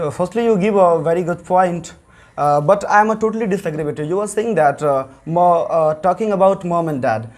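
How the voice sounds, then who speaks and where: A young man with an Indian English accent giving a classroom debate speech, hesitant and full of fillers. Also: high-pitched. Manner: hesitant, many fillers and restarts. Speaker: student debater.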